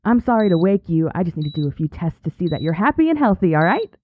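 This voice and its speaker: A woman's voice: on the low side, very animated, fast, phone-quality sound, a little hiss or hum. A woman playing a chatty, saccharine nurse with an unsettlingly careless manner in an audio drama. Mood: sugary, fussing. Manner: sugary endearments, breezy, chattering.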